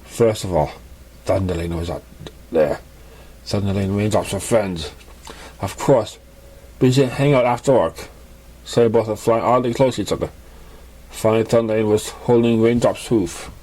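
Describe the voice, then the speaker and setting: A man reading a fan fiction story aloud in a halting, stumbling way. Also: fairly low pitch, dark tone, poor audio. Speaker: story reader.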